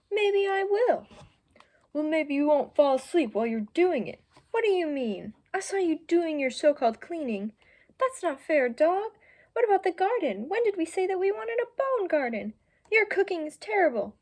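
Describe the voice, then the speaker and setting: A woman's voice: extremely high pitch, very animated, normal speed, voice pushed up. A young woman voicing squabbling animal housemates in a storybook, indignant and bickering. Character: squabbling storybook mouse, dog and cat housemates.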